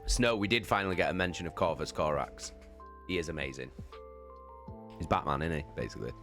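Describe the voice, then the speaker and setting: A man's voice: rumbling low, brisk, pushed and bright, soft background music. A man, chatting loosely through a book recap on a livestream, with fillers and the odd swear word. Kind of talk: casual book recap chat.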